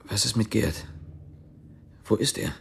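An actor in a radio drama playing a wary, exhausted man on the run, with a husky, gravelly voice. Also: on the low side, unhurried, a little hiss or hum. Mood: suspicious, shaken.

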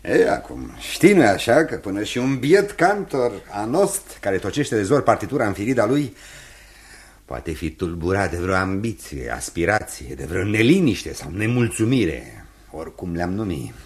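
A man's voice: on the low side, big pitch swings. An actor playing a tormented, secretive cathedral cantor in a radio play, brooding and agitated, repeating his words. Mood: uneasy. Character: tormented, opium-smoking cathedral cantor, secretive and bitter uncle. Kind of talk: radio theatre acting.

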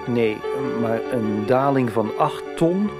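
A man's voice: medium pitch, animated, takes it slowly, loud music behind the voice. An actor playing a resigned, reticent middle-aged businessman in a radio soap.

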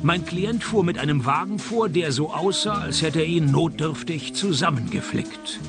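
Sardonic radio drama detective, dry wit, lots of fry, pitch moves around a lot. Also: male voice, normal speed, over music. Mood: wry, deadpan. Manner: deadpan, wry. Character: dry, sardonic male private detective narrating his cases. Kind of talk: radio play narration and dialogue.

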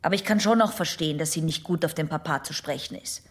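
Actress as defensive young patient, lowish voice. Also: female voice, lightly acted. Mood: resentful, confiding. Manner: halting, protesting, naive and prim. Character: defensive, hesitant young female patient under analysis. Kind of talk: German radio drama acting.

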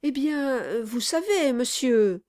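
A woman voicing an obsequious, timid male lawyer who wheedles and flatters. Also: sits high, slow, pitched above the performer's own voice. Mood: wheedling. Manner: fawning, wheedling, deferential. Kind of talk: audiobook reading.

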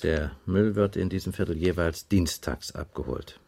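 An actor playing a matter-of-fact, insistent police inspector questioning a witness in a radio crime drama. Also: male voice, low voice, creaky, dark tone. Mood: probing, insistent. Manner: matter-of-fact, probing, deliberate.

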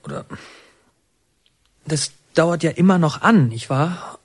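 An actor playing a brooding young inmate who narrates his memories in a radio play, halting, reflective and poetic. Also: on the high side, expressive, slightly rough, no echo, heavily acted. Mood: flustered, halting.

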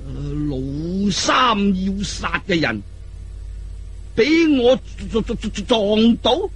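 A man's voice: sits high, sing-song, slightly rough, soft background music, pitched above the performer's own voice, heavily acted. A man voicing a boastful, contrary old martial artist with a heavy stutter, blustering and drawing out his words in a storybook telling. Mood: excited, contrary. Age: older. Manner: blustering, drawn-out delivery.

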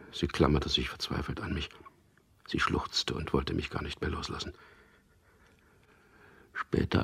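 An actor in a German radio drama playing a resolute man on a secret mission, narrating deliberately and introspectively in a gravelly, husky, hushed voice. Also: very deep voice, full character voice. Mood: grave, brooding. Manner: deliberate, introspective, recounting events.

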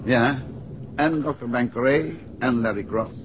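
An actor playing a shrewd, composed male detective in a 1960s radio drama, speaking Netherlands Dutch in a measured, assured way. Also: mid-range voice, close to an everyday voice. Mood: confronting, composed. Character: shrewd, composed male amateur detective explaining a solved case. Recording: muffled, noisy 1960s radio recording.

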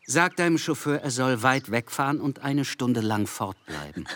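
An actor playing a dry, genial, sensible gentleman in a radio play, speaking in a wry manner. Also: mid-range voice, lively intonation, measured pace. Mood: amused.

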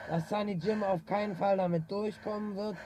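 An actor playing a down-to-earth, concerned friend of the hero in a naturalistic German radio drama. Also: male voice, high-pitched, steady tone, normal speed, dark tone, natural voice. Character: down-to-earth, concerned male drinking buddy.

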